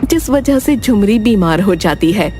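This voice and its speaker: A woman reads a scripted moral tale as narrator. Her pitch is average, and music plays clearly behind her voice. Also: animated, a little slow, warm tone. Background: background music.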